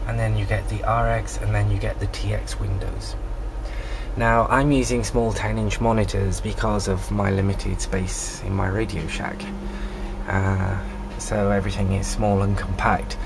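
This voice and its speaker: Low-voiced man giving a noisy tutorial. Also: little pitch movement, measured pace, creaky. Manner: plain, instructional. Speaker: amateur radio hobbyist giving a software tutorial. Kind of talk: informal step-by-step tutorial narration. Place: small home radio room, indoors. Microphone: handheld camera or phone microphone. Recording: noisy handheld camera in radio room.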